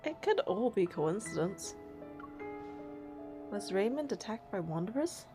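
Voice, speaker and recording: A woman's voice: medium pitch, very animated, a little slow, over music. A woman doing a game playthrough, reading narration and heroine lines aloud and commenting casually.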